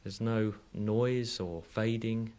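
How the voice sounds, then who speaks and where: Male engineer guest, lowish pitch, careful conversational answers with some hesitation. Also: fairly even intonation, takes it slowly, mellow.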